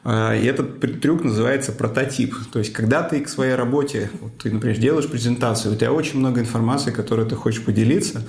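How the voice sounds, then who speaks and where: Guy talking through his process, gravelly, lots of ums, echoey room. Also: mid-range voice, darkish tone. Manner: thoughtful, many fillers and pauses. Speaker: conference speaker, cloud architect. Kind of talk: casual interview answers.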